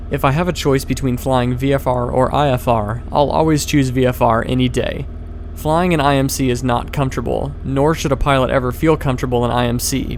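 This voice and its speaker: A man delivers scripted pilot narration in a medium-pitched voice. A faint aircraft drone sits behind his words. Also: a little mellow, a little hiss or hum. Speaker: pilot and video host.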